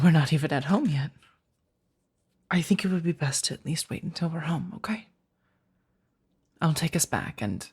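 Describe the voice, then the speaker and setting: A woman playing a remorseful, tender vampire in an ASMR roleplay, speaking softly and haltingly, often slipping into a whisper. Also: low voice, breathy edge, exaggerated voice. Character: remorseful, tender female vampire rescuing her beloved.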